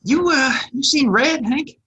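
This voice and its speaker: An actor playing a fidgety, timid, none-too-bright barfly in a Western audio play, stammering and hesitant. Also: male voice, extremely high pitch, a little slow, lo-fi sound, heavily acted. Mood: nervous. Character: fidgety, dim, timid Old West barfly, Cole. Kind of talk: Western audio drama acting.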